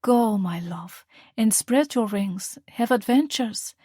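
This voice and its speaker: A woman reading the part of a calm, loving mother, speaking softly and tenderly. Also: medium pitch, takes it slowly, no room sound, clean studio sound, voice pushed up. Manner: soft, tender, reassuring.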